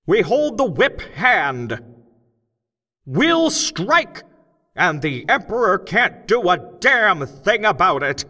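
A man voicing a man turned gnome, rousing a crowd of miners with loud, slow, impassioned speech. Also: extremely high pitch, long reverb tail, pitched above the performer's own voice, full character voice.

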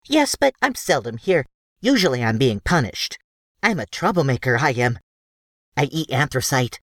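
A man voicing a cheerful, troublemaking female gnome in a high, bubbly, boisterous way for an audiobook. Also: very animated, normal speed, a bit bright, no room sound, clean studio sound. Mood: cheeky. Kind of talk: audiobook character voice.

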